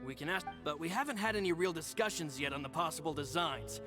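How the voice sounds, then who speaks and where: A man's voice: a little high, animated, quick pace, over music, lightly acted. An actor playing a loyal, polite male subordinate in a video game, courteous, formal and deferential. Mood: polite, eager. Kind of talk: game voice acting, formal dialogue.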